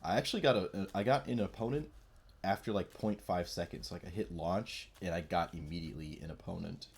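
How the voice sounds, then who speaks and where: A man's voice: deep voice, fairly quick, vocal fry, slightly rough. A man with a stuffy nasal voice from a cold, rambling casually as he streams a card game. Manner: mumbling, rambling, self-deprecating. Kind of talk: casual gameplay commentary.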